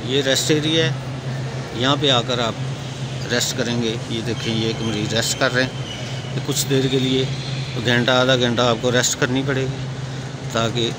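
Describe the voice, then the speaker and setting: A man's voice: medium pitch, steady tone, normal speed, pauses often. Pakistani man narrating over heavy background noise. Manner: plain step-by-step instructing. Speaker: vlogger and guide. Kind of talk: explanatory walkthrough narration. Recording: very noisy, traffic and ventilation hum.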